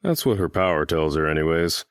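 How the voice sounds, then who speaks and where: A man playing a calm, level-headed young villain team leader in an audio drama, measured and easygoing. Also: very deep voice, clear, resonant tone, no echo, studio quality. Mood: calm, casual.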